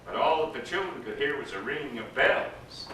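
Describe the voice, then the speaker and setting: A man, an actor, giving an expressive, dramatic reading of a literary story aloud. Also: medium pitch, moderate pace. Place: indoor live reading to a gathering. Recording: echoey room, distant mic, background noise.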